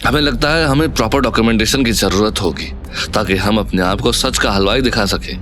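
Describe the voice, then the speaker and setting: An actor playing an earnest, cautious young man in a Hindi audio drama, speaking in a measured, polite way. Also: lowish voice, a bit bright, a little hiss or hum, lightly acted. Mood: earnest, careful.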